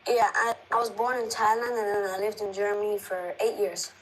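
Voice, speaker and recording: A female caller on a live stream, chatting casually in brief, halting replies. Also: mid-range voice, flat delivery. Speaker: livestream caller. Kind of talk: casual live chat, short answers. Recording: noisy live stream call.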